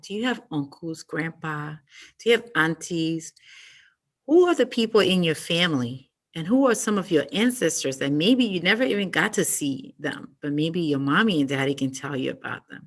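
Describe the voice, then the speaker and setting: Woman doing storytime for little kids, bright lowish voice, lots of questions to them. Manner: warm, encouraging questions to kids, with ums and restarts. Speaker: storytime reader for children. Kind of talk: reading aloud and talking to young children.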